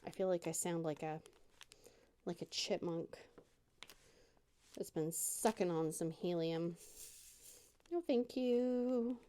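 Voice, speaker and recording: Middle-aged woman, casual crafting chatter at home, warm mellow tone, slow easy delivery. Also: on the low side, a little hiss or hum. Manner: rambling, self-deprecating, chatty. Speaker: crafting channel host. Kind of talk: casual chat while crafting. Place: home dining room.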